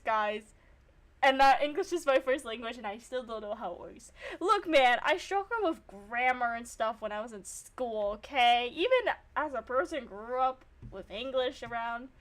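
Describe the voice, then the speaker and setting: A woman's voice: a little high, a bit bright. A young woman streamer giving casual game commentary and reading narration aloud, self-deprecating and free with swearing.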